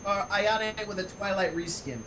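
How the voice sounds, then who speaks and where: A man's voice: high voice, fairly even intonation, moderate pace, bright, forceful tone, a little hiss or hum. A man making casual, jokey comments on a livestream reading.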